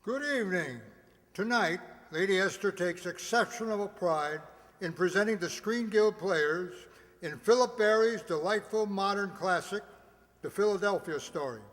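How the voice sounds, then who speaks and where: A man's voice: sits high, moderate pace, fairly bright tone. A man playing a polished, formal 1940s radio announcer, reading grand scripted narration. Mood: grand, promotional. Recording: echoey hall with long reverb.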